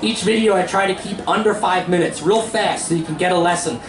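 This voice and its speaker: A man's voice: sits high, fairly quick, reverberant. A man hosting a live festival talk in a seminar tent, chatty and joking as he plugs his videos. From Pennsylvania, USA. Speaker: host and teacher.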